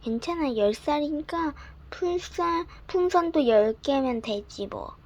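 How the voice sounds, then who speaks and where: A bright little girl of ten, as a woman storyteller puts her on, eager and pleased with herself. Her voice sits high and swoops up and down as she chatters. It comes from a gentle bedtime storybook reading. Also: expressive, unhurried. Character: proud cheerful ten-year-old girl.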